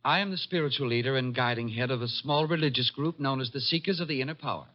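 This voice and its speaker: Guy as young cult leader type in old radio play, formal n earnest, medium pitch, muffled recording. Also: quick pace, close to an everyday voice. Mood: earnest, uneasy. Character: well-off young male leader of small sect. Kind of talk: 1950s radio drama acting. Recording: dull vintage radio recording.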